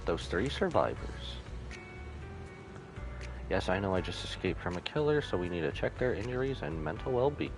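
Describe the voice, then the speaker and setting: A man streaming a game, reading dialogue aloud in a casual, halting, low-key way. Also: expressive. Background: music.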